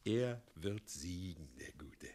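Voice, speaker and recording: A half-mad, evasive town mayor in a radio drama. His delivery is slow and highly animated, lurching from confidential hush to gushing, theatrical outbursts of loyalty. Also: heavily acted. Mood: frantic devotion. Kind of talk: German radio play acting.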